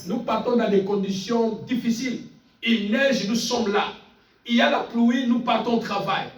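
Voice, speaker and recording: A man's voice: very high voice, steady tone, normal speed, a little hiss or hum. A man preaching a fervent sermon, speaking emphatically and insistently, repeating phrases and calling on listeners for amens. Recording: echoey room, distant mic, faint music.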